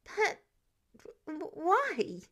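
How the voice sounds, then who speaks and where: A woman's voice: very high-pitched, huge pitch swings, very slow, voice pushed up, exaggerated voice. A woman reading an audiobook, voicing a wary, cheeky young male student superhero. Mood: guarded, hesitant. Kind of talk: audiobook character dialogue.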